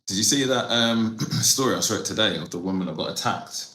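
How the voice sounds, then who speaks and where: A man hosting a podcast in a relaxed, teasing way. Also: lowish voice, fairly quick, reverberant, a little hiss or hum. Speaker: podcast host. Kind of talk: casual interview. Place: podcast studio.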